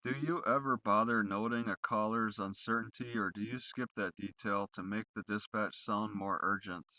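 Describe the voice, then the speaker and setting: Man, low-pitched, bright forceful tone, accusatory leading questions, cross-examination style, close dry sound. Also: steady tone, normal speed, dry recording, poor audio.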